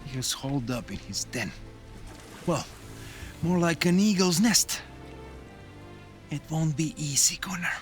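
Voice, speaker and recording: A man playing an embattled Viking jarl in a game, an earnest and measured ally with a coarse tongue. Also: a little high, lively intonation. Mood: persuasive. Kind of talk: cinematic game dialogue. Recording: studio voice over game music.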